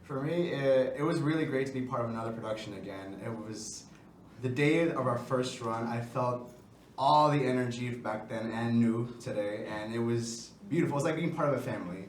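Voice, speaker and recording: Cast member in a Q&A; excited and joking, distant echoey stage recording. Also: male voice, mid-range voice, moderate pace. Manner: exuberant, joking, laughing. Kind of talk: post-show Q&A answer. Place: theater stage. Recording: echoey noisy room from far off.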